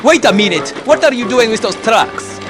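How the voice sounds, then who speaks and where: A man's voice: extremely high pitch, expressive, moderate pace, music in the background. A man playing a grumpy, sneering bully of a diesel engine in a cartoon dub. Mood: threatening. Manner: menacing, sneering, grudging when scolded. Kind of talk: comic dub character acting.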